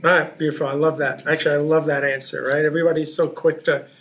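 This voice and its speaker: A man's voice: fairly high pitch, level tone, fast, fairly bright tone. A man hosting a podcast who asks questions eagerly and often repeats words as he starts his sentences. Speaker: podcast host. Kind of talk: casual interview hosting.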